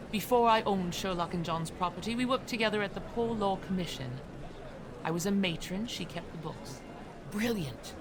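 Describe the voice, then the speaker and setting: A woman's voice: fairly low pitch, close to an everyday voice. A woman playing a kindly, protective middle-aged housekeeper in an audio drama, motherly and earnest. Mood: fond. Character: kindly older housekeeper, a protective former matron. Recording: clearly noisy background ambience.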